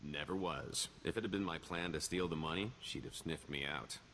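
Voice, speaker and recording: An actor playing a roguish, glib smuggler and con artist in a video game, with a wry, theatrical delivery. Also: male voice, extremely low pitch, normal speed, fairly bright tone, slight background noise. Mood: upbeat, boastful. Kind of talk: video game character dialogue.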